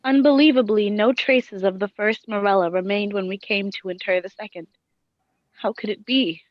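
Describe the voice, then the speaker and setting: A woman's voice: medium pitch, fairly even intonation, normal speed, dry recording, highs cut off, close to an everyday voice. A woman playing a grief-stricken wife in a radio play, speaking in an anguished, poetic, theatrical way. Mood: bewildered grief. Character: grief-stricken wife haunted by her lost spouse. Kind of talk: dramatic radio play monologue.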